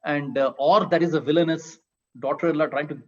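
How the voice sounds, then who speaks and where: A man's voice: on the high side, steady tone, a little fast, muffled recording. A man with an Indian accent speaking as an author on a discussion panel, emphatic and often checking with "right?".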